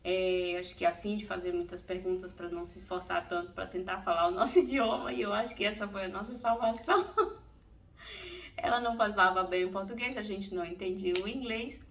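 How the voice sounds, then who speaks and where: A middle-aged woman from Brazil recounts her story in a relaxed, talkative way, often breaking into laughter. Her voice sits at an ordinary middle pitch. The mic is a little distant, with a faint ambient hiss behind her. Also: fairly quick. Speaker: vlogger. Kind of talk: casual storytelling vlog.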